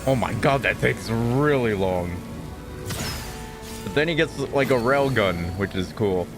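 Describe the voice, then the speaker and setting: A man casually commenting while playing a video game, with relaxed, mumbling asides. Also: lowish voice, measured pace. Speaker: gaming streamer. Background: game music.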